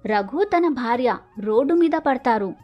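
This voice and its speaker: A woman's voice: fairly high pitch, expressive, a little slow, lo-fi sound, soft background music. A woman narrating a scripted village moral story as storyteller.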